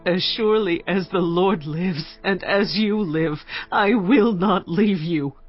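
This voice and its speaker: A woman's voice: fairly low pitch, slow, slightly rough, dry recording. An actress playing a well-to-do Shunammite woman, devoted and determined, who speaks in an earnest, pleading way in a dramatized audio scripture. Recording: dull dry audio with music.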